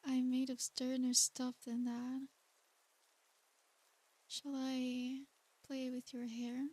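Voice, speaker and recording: A high, breathy female demon, acted by a woman dotes on the listener in an ASMR roleplay. This tender succubus coaxes softly and soothingly, taking her time over every phrase and using affectionate pet names. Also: monotone, takes it slowly. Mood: affectionate, coaxing. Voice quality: soft, breathy.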